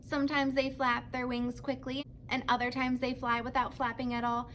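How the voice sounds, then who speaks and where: A woman voicing a patient, protective robot mother who speaks in precise, formal, measured sentences for a children's storybook. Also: high voice, little pitch movement, a bit bright, a little hiss or hum. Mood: matter-of-fact.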